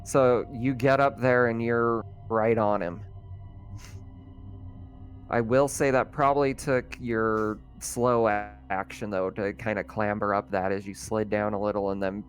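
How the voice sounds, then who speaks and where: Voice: mid-range voice, steady tone, measured pace. Explanatory game master, music behind. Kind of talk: running a tabletop roleplaying game.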